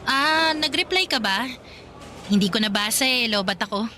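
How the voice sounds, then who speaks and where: A woman's voice: on the high side, animated, moderate pace, pushed and bright, a little hiss or hum. A woman playing a young working college student, a chatty, tired girlfriend, in a conversational radio drama style. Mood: casual complaining.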